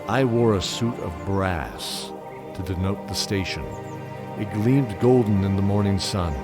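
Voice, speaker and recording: A man's voice: on the low side, lightly acted. A man playing a solemn old holy knight who narrates his own tale in an audio drama. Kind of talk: first-person dramatic storytelling. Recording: background music throughout.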